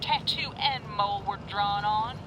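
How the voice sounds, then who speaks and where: A woman's voice: mid-range voice, a little slow, lo-fi sound. A woman playing a blunt, urgent lawwoman calling over a two-way radio in a comic audio drama. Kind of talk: tongue-in-cheek audio drama acting. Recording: radio call amid clear vehicle noise.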